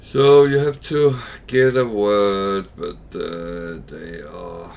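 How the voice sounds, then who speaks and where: A slow-talking man gives casual commentary on his game, his husky, crackling voice low and gravelly. He muses aloud, stopping on plenty of fillers. Steady hiss sits under the noisy stream recording. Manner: unhurried, thinking aloud with many fillers.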